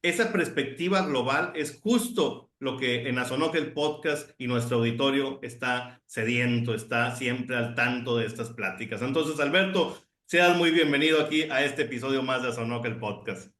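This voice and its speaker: A man's voice: medium pitch, normal speed, hardly any pauses, a bit bright, roomy sound. A Honduran middle-aged man co-hosting a podcast, talking chattily and enthusiastically with frequent restarts. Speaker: podcast co-host. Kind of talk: casual podcast conversation.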